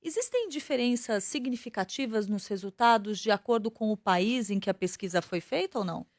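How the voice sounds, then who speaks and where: A woman radio host asking clear, measured interview questions and checking in with "né?". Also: medium pitch, expressive, no room sound, clean studio sound.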